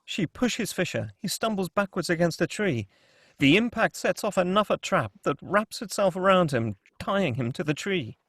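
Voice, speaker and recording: A man's voice: sits high, big pitch swings, moderate pace, fairly bright tone, no room sound, clean studio sound. A man reading screenplay stage directions as narrator at a table read.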